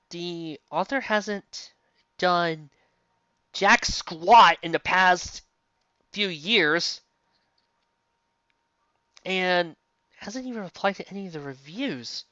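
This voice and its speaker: A woman's voice: on the low side, drawn-out words, long pauses, a bit bright, no room sound, lo-fi sound. A woman giving casual, unscripted fan fiction commentary, incredulous and exasperated, often stopping to rephrase and asking rhetorical questions.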